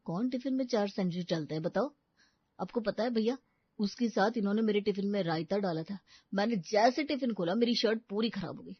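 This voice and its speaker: A woman's voice: mid-range voice, fairly quick, slightly rough, dry recording, lo-fi sound. A woman voicing an indignant young husband and younger brother, whining and sarcastic in a cartoon comedy. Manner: complaining, sarcastic. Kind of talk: cartoon family comedy acting.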